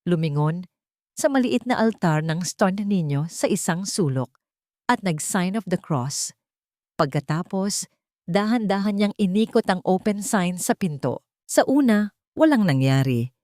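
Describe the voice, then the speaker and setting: A woman's voice: on the low side, expressive, unhurried, a little mellow, no room sound, studio quality. A woman narrating a scripted dramatic story.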